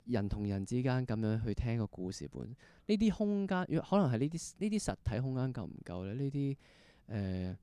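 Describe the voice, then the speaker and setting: A man's voice: medium pitch, big pitch swings, normal speed, dark tone, clean tone, dry recording. A man with a Hong Kong Cantonese accent interviewing conversationally, feeling his way through long, rephrased questions. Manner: exploratory, rephrases questions at length. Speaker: interviewer, podcast host.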